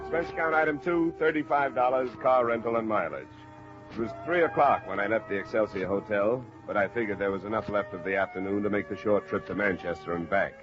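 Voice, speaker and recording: A man's voice: lowish voice, a little fast, natural voice. An actor playing a wry, businesslike male insurance investigator in a 1950s radio detective drama, with dry, clipped delivery. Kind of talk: 1950s radio detective acting and first-person narration. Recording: muffled old radio recording with music.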